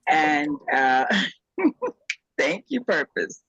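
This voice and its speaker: A woman in her sixties talking in a casual group chat, easygoing and gently humorous. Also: deep voice, very animated, extremely slow, vocal fry, breathy edge, dull audio. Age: 60s. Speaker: guest.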